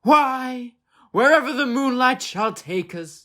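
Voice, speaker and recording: A woman's voice: high-pitched, animated, slow, pushed and bright, exaggerated voice. A woman playing a pompous, melodramatic married man in an amateur comedy murder mystery, with florid theatrical delivery. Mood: lovestruck, grandiose.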